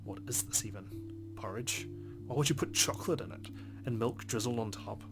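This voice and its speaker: A man hosting a let's play, reading visual novel narration aloud in a measured way with the odd stumble over words. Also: mid-range voice. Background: prominent game music with plucked guitar.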